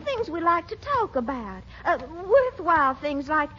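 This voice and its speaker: Very high-voiced, coy genteel sweetheart. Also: female voice, very animated, measured pace, sharp tone, exaggerated voice. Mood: gently scolding. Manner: coquettish, prim, coaxing. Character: coy, genteel, gently scolding lady sweetheart. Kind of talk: 1940s radio comedy acting. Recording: muffled, noisy 1940s radio recording.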